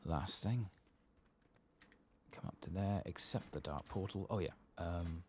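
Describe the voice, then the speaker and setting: A soft-spoken man giving unhurried ASMR gameplay commentary, murmuring to himself and sometimes dropping toward a whisper, close to the microphone. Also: deep voice, a little hiss or hum. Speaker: gaming streamer.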